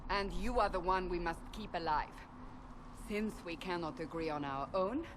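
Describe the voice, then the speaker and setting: An actress playing a blunt, impatient warrior seeker in a fantasy video game. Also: female voice, on the low side, normal speed, noisy background, natural voice. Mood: resolute. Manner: blunt, forceful.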